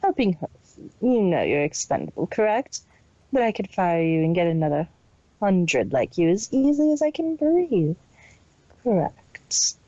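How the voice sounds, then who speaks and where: A woman's voice: on the low side, sing-song, measured pace, mellow, no echo, dull audio. A woman voicing a cold, detached, imperious female pony villain boss in an amateur story reading. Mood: coolly menacing. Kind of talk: amateur fan fiction audio reading.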